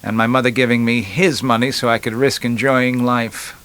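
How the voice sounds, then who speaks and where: A man's voice: medium pitch, steady tone, moderate pace, a bit bright, smooth, natural voice. A glib young man, acted out by a male narrator in a storybook, talks in a chatty, wisecracking way. He leans on words with punchy emphasis, and a streak of resentment runs under the joking. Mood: bitter, self-justifying.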